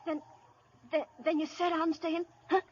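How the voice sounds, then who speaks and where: An actress playing a fearful, superstitious country wife with a rural American accent, giving ominous warnings in an old radio comedy-drama. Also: female voice, very high-pitched, little pitch movement, a little slow. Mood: resigned. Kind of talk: 1930s-40s radio comedy-drama acting. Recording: dull old radio broadcast.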